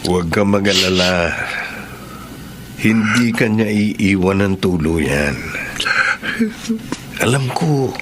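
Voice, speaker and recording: An actor playing a steady, consoling Filipino male confidant in radio drama style, gently firm and measured. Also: low-pitched, very noisy.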